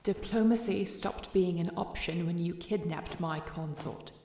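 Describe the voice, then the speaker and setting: Casting: actress as imperious dragon-shifter queen, fiercely protective, formal delivery, low voice with gravelly edge, fantasy audio drama. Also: measured pace, dark tone. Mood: cold, threatening. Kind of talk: fantasy audio roleplay acting.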